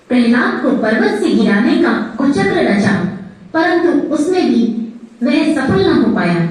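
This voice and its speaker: A woman telling a scripted mythological story in a dramatic, deliberate way, broken into short phrases. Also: high-pitched, a little mellow. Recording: heavily echoing, distant-sounding voice.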